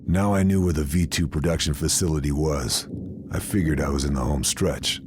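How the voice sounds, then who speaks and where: Very deep-voiced, grim American sniper. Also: male voice, brisk. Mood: matter-of-fact. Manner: terse, deadpan. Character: grim American OSS sniper narrating his wartime mission. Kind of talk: scripted noir-style voice-over narration.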